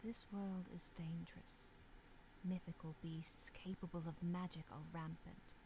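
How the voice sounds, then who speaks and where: A woman playing a mysterious, solemn narrator of a dark fantasy visual novel, with slow, hushed, measured storytelling. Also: fairly low pitch, little pitch movement, slight background noise, natural voice, rough recording. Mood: mysterious, welcoming. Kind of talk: scripted second-person narration for a visual novel.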